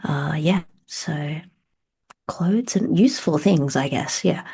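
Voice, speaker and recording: Low-voiced gravelly middle-aged woman. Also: lively intonation, slow pace, dark tone. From Australia. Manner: frank, laughs often, many fillers. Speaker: CEO and interview guest. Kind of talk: casual interview answers. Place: at home.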